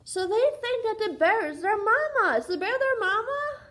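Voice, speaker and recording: A woman's voice: extremely high pitch, expressive, normal speed, a bit bright. A woman reading a picture book aloud to young children as a teacher would, sing-song and often prompting them with questions.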